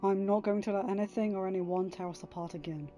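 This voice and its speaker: A woman reads the part of a heroic warrior husband in an audiobook. The tone is mellow and calm, with tender reassurance and earnest resolve. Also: mid-range voice, fast, faint music behind, close to an everyday voice. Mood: tender, resolute. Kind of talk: dramatised audiobook reading.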